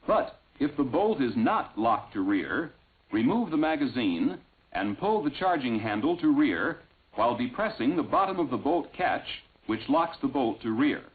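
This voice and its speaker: A man reading scripted instructional narration in a measured, clearly enunciated way, on an old film soundtrack. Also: mid-range voice, very animated. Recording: tinny, band-limited vintage film soundtrack.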